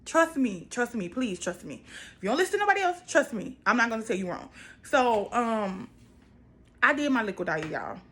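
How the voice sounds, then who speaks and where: A woman's voice: fairly high pitch, big pitch swings, normal speed, fairly bright tone. An American woman in her twenties chatting casually to viewers, emphatic and repeating phrases for stress. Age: 20s. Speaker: vlogger. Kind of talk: casual personal vlog chat to viewers.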